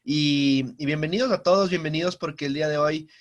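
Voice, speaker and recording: A man's voice: a little high, fairly quick. A young man, giving a personal talk over a video call and often repeating himself as he restarts. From Ecuador. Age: young adult. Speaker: guest speaker.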